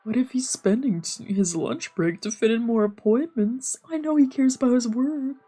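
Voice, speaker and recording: A woman putting on a fussy, excitable young guy. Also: a little high, normal speed, voice pushed up, natural voice. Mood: concerned. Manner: expressive, scolding, gushing. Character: fussy, excitable, sweet-toothed, foul-mouthed young male friend. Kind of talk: live dubbing of a visual novel.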